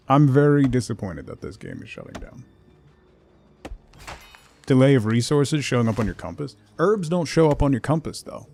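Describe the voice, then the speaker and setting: A man's voice: medium pitch, gravelly, warm tone. A man chatting casually as he streams a game, thinking aloud in short fragments. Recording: music behind the voice. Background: music.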